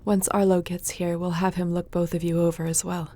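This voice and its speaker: An actress playing a gentle, reassuring sorceress and healer in a fantasy audio drama, with a soft, soothing manner. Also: female voice, lowish voice, level tone, a little fast, close to an everyday voice. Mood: weary, relieved.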